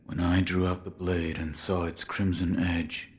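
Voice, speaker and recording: A male actor playing a grim, loyal shinobi swordsman in a video game, speaking in a terse, formal deadpan. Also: low-pitched, measured pace. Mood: stoic, solemn.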